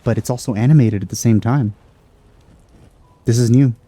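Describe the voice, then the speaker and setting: A man's voice: mid-range voice, normal speed, warm tone. A man giving casual, wry commentary while playing a video game. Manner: offhand, wry. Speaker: let's play host.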